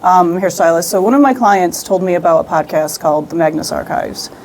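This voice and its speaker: A woman's voice: lowish voice, fairly quick, a little mellow, slight background noise. A woman chatting casually and earnestly as an interview guest about her creative work. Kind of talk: casual conversation.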